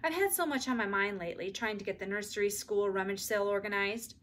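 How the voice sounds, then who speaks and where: A woman's voice: mid-range voice, fast, a bit bright, a little hiss or hum, close to an everyday voice. A woman voicing a patient but harried mother of two girls in a storybook, with motherly exclamations. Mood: distracted.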